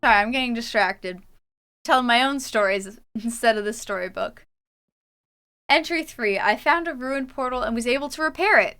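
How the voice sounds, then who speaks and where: A woman's voice: a little high, moderate pace, fairly bright tone, smooth. A young adult Canadian woman streaming a game, chatting casually and reading her journal entries aloud. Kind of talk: casual livestream chat while gaming.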